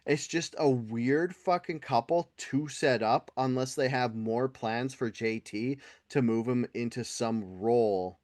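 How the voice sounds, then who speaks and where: Excitable middle-aged man, dry close mic. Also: mid-range voice, measured pace, few pauses, no room sound. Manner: animated, excitable, rambling. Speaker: podcast co-host. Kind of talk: casual profane podcast chat.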